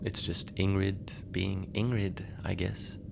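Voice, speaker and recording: A low male voice with a gravelly fry, over a clear bed of ambient noise. An actor plays a lonely, unbothered facility manager at a polar research station, telling odd things in a hesitant, understated way for a cozy horror podcast. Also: slow, exaggerated voice. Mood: confiding, wry. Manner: hesitant, understated, deadpan, trailing off. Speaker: narrator of a fiction podcast. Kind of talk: cozy horror audio-drama monologue, radio log. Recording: noisy ambient bed behind the voice.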